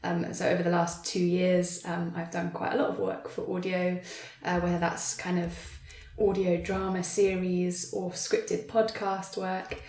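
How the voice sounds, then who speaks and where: A woman's voice: fairly low pitch, flat delivery, a little slow, darkish tone. A woman writer answering conversationally as an interview guest, recorded with a roomy echo. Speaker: playwright, guest. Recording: roomy, echoing sound.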